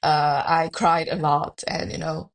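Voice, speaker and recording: A young woman from Vietnam in a casual interview, telling her story in a chatty flow. Her voice sits a little low, and she often pauses on fillers and corrects herself mid-sentence. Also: flat delivery, gravelly. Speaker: guest, consultant.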